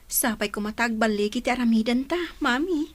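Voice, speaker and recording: A woman's voice: a little high, fairly quick, natural voice. A woman playing a supportive, hopeful grown daughter in an audio drama. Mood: hopeful, encouraging.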